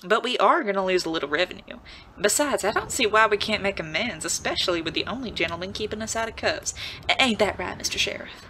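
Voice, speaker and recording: Woman playing a bright, teasing saloon sweetheart. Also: mid-range voice, lively intonation, fast talker, slight background noise. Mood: coaxing, teasing. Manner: coaxing, teasing, sugary. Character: flirtatious, teasing saloon woman, sweet but quietly dangerous. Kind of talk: audio drama acting.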